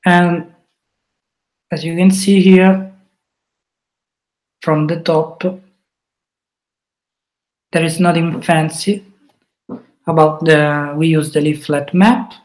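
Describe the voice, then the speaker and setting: Slow halting Italian man demoing code. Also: a little high, steady tone, rough recording. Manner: halting, thinks aloud with fillers. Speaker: webinar presenter. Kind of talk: live coding walkthrough.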